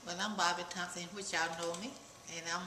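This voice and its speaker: A woman's voice: fairly low pitch, steady tone, brisk, bright, forceful tone. An older woman from the Carolinas with a Southern accent, chatting casually about herself and her views. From Charlotte and South Carolina, United States. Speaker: oral history interviewee. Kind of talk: casual personal chat. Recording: echoey room with clear background noise.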